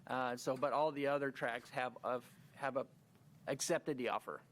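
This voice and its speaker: A man's voice: medium pitch, steady tone, normal speed, fairly bright tone. An American Midwestern man on county staff explaining a project at a commission meeting, with many fillers and restarts.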